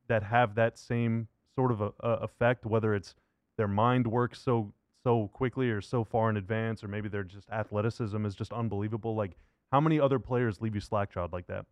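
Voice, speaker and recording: A man with a medium-pitched voice hosts a casual sports conversation. He talks with easy enthusiasm, and the recording is dry and close. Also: moderate pace, a little mellow, no echo, muffled recording. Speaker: podcast host.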